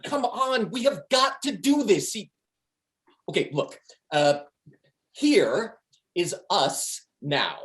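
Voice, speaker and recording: A man acts out an excitable, cocky slacker with a scheme to sell. His pitch leaps around as he pushes his point in slangy, emphatic bursts. It is a stage comedy read over a video call. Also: slow, pauses often, full character voice. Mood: pushy, scheming. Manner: slangy, emphatic, swinging between hushed and pushy. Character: Steve, cocky, excitable, foul-mouthed male slacker schemer.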